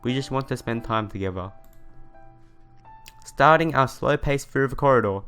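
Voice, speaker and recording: A man's voice: mid-range voice, normal speed, a bit bright, clean tone. Young man reading a visual novel, music behind. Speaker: let's player.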